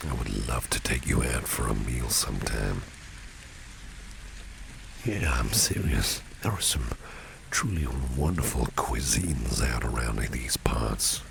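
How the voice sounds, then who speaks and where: Casting: male actor as suave protective boss for ASMR roleplay, extremely low, heavily creaky voice, flirtatious and soft-spoken. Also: takes it slowly, pauses often, exaggerated voice. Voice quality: gravelly, breathy near-whisper. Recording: steady rain noise behind the voice. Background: rain and street ambience.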